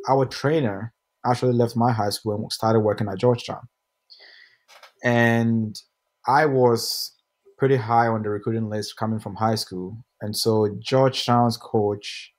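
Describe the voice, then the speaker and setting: A young man from Ghana, a pro soccer player, telling his story in a relaxed, rambling way as a podcast guest over a video call. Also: mid-range voice. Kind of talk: casual interview answers.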